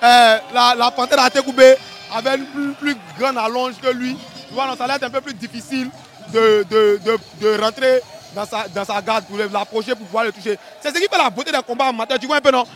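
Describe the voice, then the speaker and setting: A man with an Ivorian accent giving live, casual fight commentary in a colloquial, animated way, often repeating his phrases. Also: very high-pitched, a little fast.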